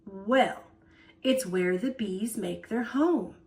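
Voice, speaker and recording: A woman voicing a jolly, excitable old grandfather in a picture-book read-aloud, chirpy and eager. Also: medium pitch, slow. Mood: warm eagerness.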